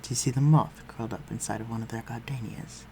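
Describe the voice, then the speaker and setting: A man's voice: medium pitch, fairly even intonation, fast talker, lightly acted. A man playing a protective, courtly vampire lord in an audio roleplay, speaking formally and tenderly to his human listener. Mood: gentle, attentive. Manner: formal, old-fashioned, tender and reassuring. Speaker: voice actor. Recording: clearly noisy, ambient sound behind voice.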